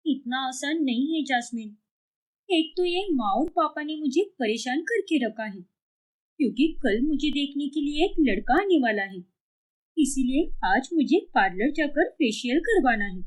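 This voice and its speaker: Woman acting a dramatic whiny young girl in love, cartoon story, high swoopy voice. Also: animated, measured pace. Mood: indignant. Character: dramatic, stubborn lovestruck young daughter. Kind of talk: cartoon moral story dialogue.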